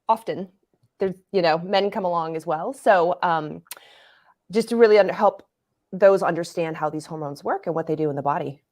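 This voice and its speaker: Animated woman guest expert. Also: fairly low pitch. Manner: animated, explains with casual analogies. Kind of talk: interview answers.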